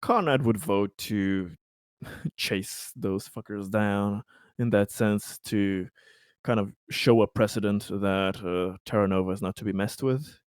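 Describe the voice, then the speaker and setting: A man playing a tabletop roleplaying game, casually arguing out plans and haltingly thinking aloud. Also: on the low side, clean tone, dry recording, studio quality.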